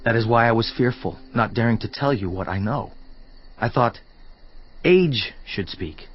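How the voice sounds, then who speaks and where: A man's voice: medium pitch, unhurried, soft background music, close to an everyday voice. Elihu, a man playing an outspoken young man. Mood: urgent. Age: young adult. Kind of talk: dramatized scripture reading. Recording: slightly muffled audio.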